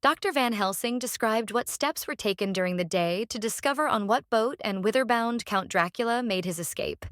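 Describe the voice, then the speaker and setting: A woman's voice: on the high side, expressive, moderate pace, hardly any pauses, no room sound, studio quality, close to an everyday voice. A woman playing a composed, methodical young wife reading out her journal in a formal, orderly way for an audiobook. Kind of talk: dramatised audiobook narration.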